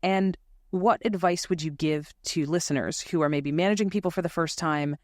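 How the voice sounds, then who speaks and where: A podcast host asking structured, measured interview questions. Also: medium pitch, no room sound, studio quality.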